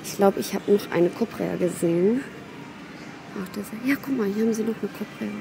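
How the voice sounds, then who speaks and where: Excitable young woman vlogging in a store. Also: medium pitch, normal speed, vocal fry, noisy background. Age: young adult. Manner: excitable, chatty, often whispering asides. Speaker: plant vlogger. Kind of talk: casual shop-with-me vlog. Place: indoors, then a large garden center. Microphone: handheld phone camera.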